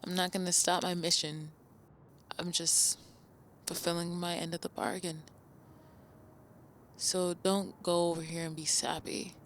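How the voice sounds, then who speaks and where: A woman's voice: lowish voice, flat delivery, a little slow, long pauses, slight background noise, natural voice. A woman playing a cocky, sarcastic supervillain with a grudging code of honour in a radio drama. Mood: wry, matter-of-fact. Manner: dry, sarcastic, self-assured. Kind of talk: first-person radio drama monologue and dialogue.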